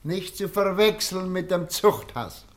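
A man's voice: sits high, lively intonation, a little slow. A man playing a brash, scheming ex-convict tramp with a Bavarian accent in a German radio drama, sly and sardonic. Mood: sardonic boasting.

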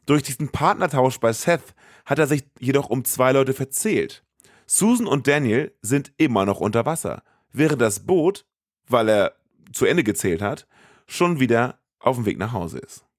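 A man's voice: medium pitch, animated, normal speed, no echo, studio quality. A man, a podcast host narrating a scripted, colloquial recap of a film.

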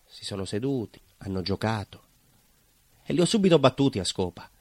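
A man's voice: fairly low pitch, sing-song, a little fast, a little mellow, dry recording. A man playing a nostalgic, naive, proud neighbourhood baker in a theatrical monologue, with a southern Italian accent and a colloquial, rambling, emphatic way of telling. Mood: naive storytelling. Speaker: stage actor.

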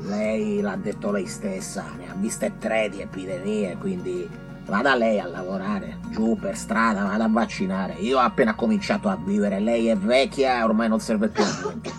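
A man's voice: fairly low pitch, steady tone, normal speed, music in the background, lightly acted. A man playing a selfish, grumpy young doctor in a radio drama, callous and blustering. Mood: callous, bitter. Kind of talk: Italian radio drama acting.

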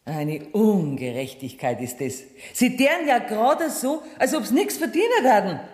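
A woman's voice: mid-range voice, big pitch swings, moderate pace, darkish tone, lightly acted. An actress playing a brash, scheming woman in a radio play, speaking brusquely in Bavarian dialect. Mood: indignant. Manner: brusque, bossy. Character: brash, scheming, cash-strapped woman, bossy and defiant.

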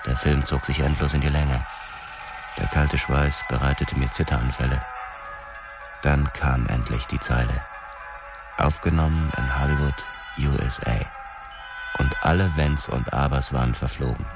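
A man's voice: rumbling low, steady tone, measured pace, pauses often, over music. An actor playing a hard-boiled police detective who narrates his own story in a radio play, brooding and terse. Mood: tense, grim. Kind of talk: scripted first-person radio play narration.

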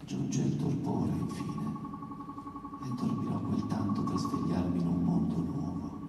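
A man giving a measured, solemn literary reading as a performing poet, with a theatrical manner. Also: deep voice, completely flat delivery, creaky. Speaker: poet performing own text. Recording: very echoey hall, distant mic, noisy.

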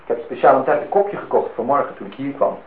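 An actor playing a polite, lonely host in a radio play, formal and slightly insistent. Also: male voice, mid-range voice, fast talker, close to an everyday voice. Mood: polite, probing. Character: polite, lonely man hosting a young woman at his lakeside house. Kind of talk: Dutch radio play acting. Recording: noisy, tinny old radio recording.

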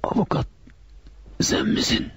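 An actor playing a gravely wounded robber in a radio drama, weakly gasping out broken phrases as he dies. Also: measured pace, close to an everyday voice. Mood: weak, loyal. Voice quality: weak, gasping, breathy whisper. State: dying, struggling for breath. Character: gravely wounded male robber, dying, loyal to his boss. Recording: dull lo-fi radio recording.

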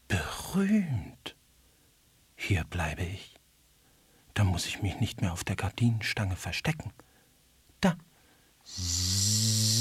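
A woman's voice: extremely low pitch, breathy edge, no room sound, heavily acted. An actress voicing a small male beetle in a children's radio play, whiny and plaintive, sorrowful, timid yet a little vain.

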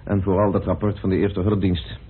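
An actor playing a measured, probing man in a radio play, explaining things firmly and deliberately. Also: deep voice, flat delivery, slightly rough. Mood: matter-of-fact. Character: measured, probing man laying out evidence, firm and principled. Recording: muffled, narrow old radio recording.

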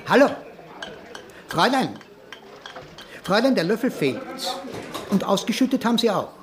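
Testy photographer, curt, querulous, higher male voice, very lively pitch, radio play. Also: normal speed, a crowd in the background. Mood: indignant. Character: irritable, suspicious male photographer, a brooding lonely lodger. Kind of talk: German-language radio drama acting.

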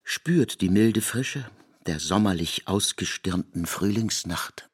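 An actor playing a solemn, priestly narrator of myth in a literary radio drama, declamatory and incantatory. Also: male voice, low voice, expressive, takes it slowly, breathy edge, exaggerated voice. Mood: hushed wonder.

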